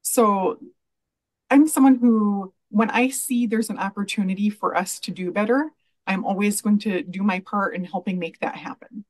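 A middle-aged woman speaks over a webcam from a room at home. Her voice sits in an ordinary middle register, and she talks in an earnest, measured way, often leaning on "you know" as she explains. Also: mid-range voice, fairly even intonation, darkish tone, rough recording. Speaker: guest, library association president. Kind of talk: interview answers over video call. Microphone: video call webcam.

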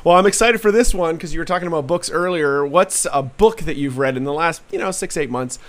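A man's voice: fairly high pitch, brisk. A middle-aged man hosting a podcast over a video call, upbeat and encouraging. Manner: upbeat, brief affirmations. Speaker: podcast host. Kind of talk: podcast interview.

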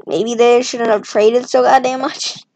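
Woman, slow-paced casual self-talk, rambling asides, voice on the higher side. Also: fairly even intonation, a little mellow, a little hiss or hum. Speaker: map-making video creator. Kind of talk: casual commentary while drawing.

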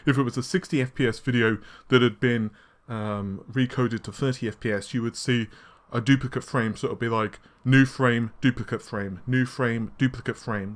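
A man hosting a casual tech news show, his voice slightly scratchy and worn, talking in a chatty way with frequent fillers and quick asides. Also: mid-range voice. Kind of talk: casual solo tech commentary. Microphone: laptop recording setup.

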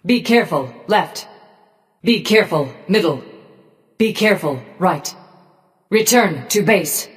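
A woman voicing a cold, menacing robotic gorgon in a video game, giving short commands in a measured, detached, machine-like way. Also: fairly low pitch, animated, big-room sound. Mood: cold, commanding. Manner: slow, measured, emotionally detached machine-like delivery. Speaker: game character voice pack.

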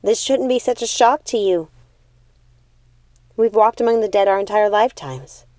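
A woman voicing a calm, resolute eldest witch sister in a storybook, speaking firmly and deliberately. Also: mid-range voice, dry recording, close to an everyday voice.

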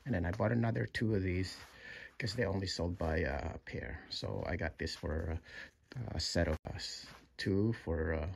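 A middle-aged man giving a casual walkthrough of his home-built project, halting with many fillers and restarts. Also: sits low, vocal fry, a little hiss or hum. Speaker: DIY builder. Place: home room with sim cockpit, indoors.

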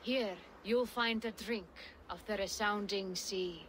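A woman's voice: medium pitch, slow pace, fairly bright tone, slight background noise. A woman playing a solemn, cryptic Norse seeress in a video game, speaking portentously in archaic phrasing. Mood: grave, cryptic. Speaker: seer character in a video game. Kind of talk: dramatic video game acting.

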